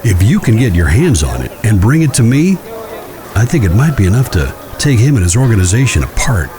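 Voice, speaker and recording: Man as courteous, low, expressive western judge. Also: brisk, crowd noise. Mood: confidential, measured. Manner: formal, courteous, careful. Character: measured, discreet Old West judge. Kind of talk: audio drama acting.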